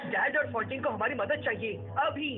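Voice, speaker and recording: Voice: high-pitched, fairly quick, natural voice. A voice actor dubbing a cartoon teenage son who eagerly explains science facts and plans. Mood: urgent. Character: young male son, eager science-minded teen. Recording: tinny phone-like sound with music.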